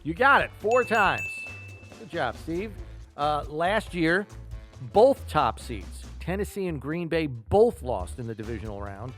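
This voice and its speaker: Male sports podcast host, slightly high voice, emphatic quizmaster delivery, music underneath. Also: very animated, measured pace, fairly bright tone. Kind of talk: sports talk and trivia quiz.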